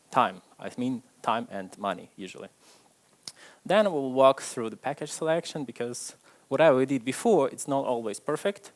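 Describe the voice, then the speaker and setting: Male conference speaker, chatty and self-deprecating, middle pitch, speaking to a live crowd. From Ukraine. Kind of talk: tech conference talk. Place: stage before a live audience.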